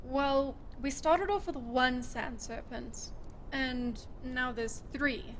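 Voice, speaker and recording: A high, bright voice of a woman acting a hard-nosed female desert fighter in an audio drama. She is curt and threatening, with dry sarcasm and a tactician's directness. Some noise sits behind the voice. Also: slow, rough recording. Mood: brisk, calculating.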